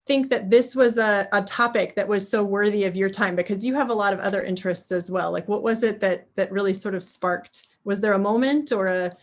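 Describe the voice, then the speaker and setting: A woman's voice: medium pitch, a little fast, hardly any pauses. A woman moderating an interview, asking tentative, discursive questions in a conversational way.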